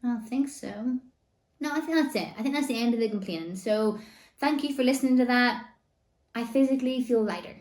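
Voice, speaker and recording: A woman's voice: fairly high pitch, brisk, darkish tone, echoey room. A woman, chatting casually to camera as a vlogger, her voice still slightly worn from laryngitis, rambling with lots of "like" and "you know". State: recovering voice after laryngitis. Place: home room.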